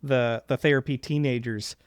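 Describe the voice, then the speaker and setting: A man's voice: medium pitch, steady tone, moderate pace, smooth, no echo, clean studio sound. A man hosting a podcast interview in a casual way, thinking aloud as he builds and rephrases his questions.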